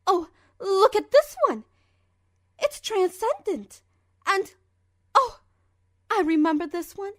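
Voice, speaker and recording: A woman's voice: very high-pitched, expressive, takes it slowly, pauses often, fairly bright tone, no room sound, studio quality, full character voice. A woman voicing a refined, lovestruck young female pony fashionista, theatrical and elegant, in an audio drama letter reading. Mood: delighted.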